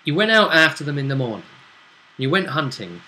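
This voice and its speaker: A man with a fairly high, very bright voice acts as game master, chatting through a role-play session recap. His pitch swings freely, and his delivery is halting and playful. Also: sing-song, poor audio.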